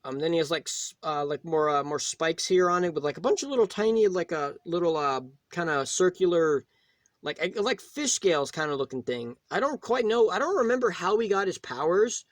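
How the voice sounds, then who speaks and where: Guy w/ pretty high voice reviewing toys off the cuff, kinda rambly and repeats himself, dry sound no echo. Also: expressive, brisk. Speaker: toy reviewer, video host. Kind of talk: casual unscripted action figure review.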